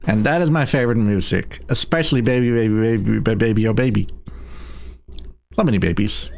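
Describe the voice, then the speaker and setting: A man's voice: lowish voice, a little fast, gravelly, a little mellow, soft background music. A man giving casual, tongue-in-cheek live game commentary as a speedrunner at a marathon.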